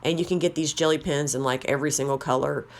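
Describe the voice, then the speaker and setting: Woman, deep for her range, slightly raspy, rambling friendly tutorial host. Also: steady tone, quick pace. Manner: chatty, rambling, self-deprecating. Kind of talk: casual how-to narration.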